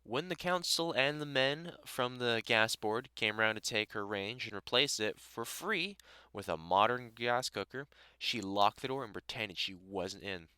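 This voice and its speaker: A man's voice: medium pitch, lively intonation, a little slow, sharp tone, clear, resonant tone, no room sound. A British man playing a dry, sarcastic vampire hunter in a conversational audio roleplay, chatting informally to the listener. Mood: teasing, amused. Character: gruff, sardonic but welcoming male vampire hunter.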